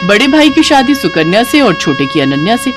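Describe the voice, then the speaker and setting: A woman telling a scripted moral story as an expressive narrator. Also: a little high, a little fast, over music.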